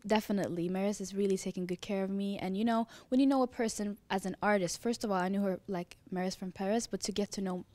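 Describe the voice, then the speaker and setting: Norwegian woman in her twenties, native norwegian speaker, excited and gushing, handheld mic indoors. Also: medium pitch, fast talker, hardly any pauses, no room sound. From Norway. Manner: excited, gushing, laughing often. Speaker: dancer. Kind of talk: interview answers. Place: indoor lounge at a rehearsal studio. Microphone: handheld mic held by interviewer.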